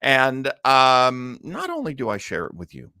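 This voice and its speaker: A man's voice: medium pitch, huge pitch swings, measured pace, pushed and bright, no room sound, studio quality. A man hosting a show in a casual, unscripted way, shifting between theatrical announcing and rambling chat. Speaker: show host.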